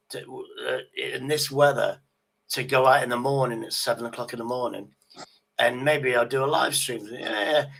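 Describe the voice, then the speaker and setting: Man, sixties, bright sharp voice, emphatic, repetitive phrasing, casual livestream chat. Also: medium pitch, gravelly, rough recording. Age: 60s.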